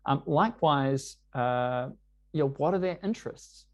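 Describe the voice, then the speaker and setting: A man with a New Zealand English accent gives a workshop talk on growing a tutoring business. His pitch sits in the middle for a man. He talks conversationally and leans on fillers as he explains. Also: measured pace, lo-fi sound. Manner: conversational, uses many fillers like "you know". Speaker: workshop presenter. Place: lives in London.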